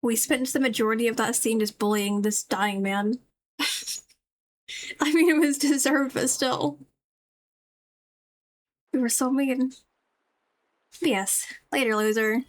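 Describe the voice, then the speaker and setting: A woman's voice: fairly high pitch, slow pace, pauses often. A woman streaming a game, giving casual, chatty, playfully mocking commentary as she plays. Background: game music.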